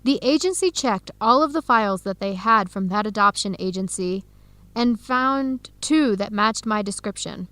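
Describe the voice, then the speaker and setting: A young woman playing an earnest researcher searching for her birth family in an educational radio drama, speaking clearly and conversationally. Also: mid-range voice, normal speed, a bit bright, no echo, close to an everyday voice. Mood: excited, matter-of-fact. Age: young adult.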